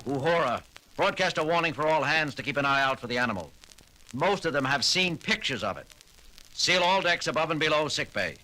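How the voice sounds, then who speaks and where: An actor playing a commanding male starship captain who talks in a brisk way, giving orders, in a 1970s audio drama. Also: fairly high pitch, lively intonation, bright, forceful tone, poor audio.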